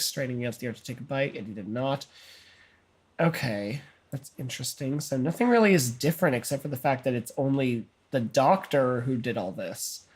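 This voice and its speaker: A man's voice: mid-range voice, slightly rough. A young adult man co-hosting a game playthrough, reading story text aloud and chatting loosely with a joking tone. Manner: loose, joking, skims and reads quickly. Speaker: co-host of a let's play channel.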